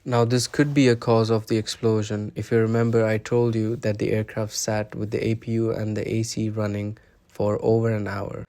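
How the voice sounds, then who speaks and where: A man's voice: on the low side, little pitch movement, normal speed, dark tone. A man narrating a scripted documentary, explaining events step by step.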